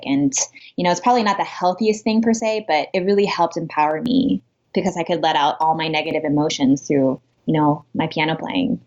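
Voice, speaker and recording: A woman's voice: low voice, darkish tone. Young woman guest on a dull lo-fi line. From Pittsburgh, Pennsylvania. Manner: friendly, laughs lightly while talking. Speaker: guest, pianist. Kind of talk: interview answers.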